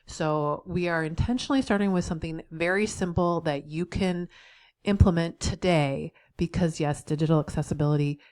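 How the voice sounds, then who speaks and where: A woman's voice: low-pitched, normal speed, a little mellow, no room sound. A middle-aged woman with a head cold and a slightly nasal voice, teaching a tutorial and encouraging the viewer directly. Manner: encouraging, speaks straight to the viewer with frequent "right?". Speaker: accessibility trainer. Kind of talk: tutorial video.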